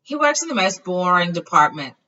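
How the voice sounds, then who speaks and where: A woman voicing a chatty, excitable young wizard boy, a loyal friend telling things eagerly, for a storybook reading. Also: fairly low pitch, fairly quick. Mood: excited. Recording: dull lo-fi, far from the mic.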